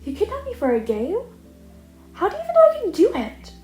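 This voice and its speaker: A woman's voice: very high-pitched, brisk, faint music behind, voice pushed up, exaggerated voice. A woman voicing a sarcastic, nonchalant teenage boy student who is blunt, weary and flippant. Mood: exasperated. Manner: flippant, deadpan excuses. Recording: echoey room, audible noise.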